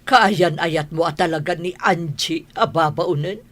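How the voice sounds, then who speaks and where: A woman playing a stern, stubborn older mother in a radio drama, with a husky voice. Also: on the low side, a little slow. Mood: demanding.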